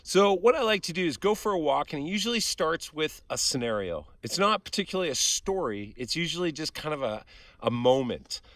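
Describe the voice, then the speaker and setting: A man's voice: fairly high pitch, big pitch swings, fairly quick, a bit bright, dry recording. A middle-aged man talking casually to viewers in a rambling, conversational outdoor vlog. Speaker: vlog host, fiction creator. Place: outdoors on a forest hiking trail.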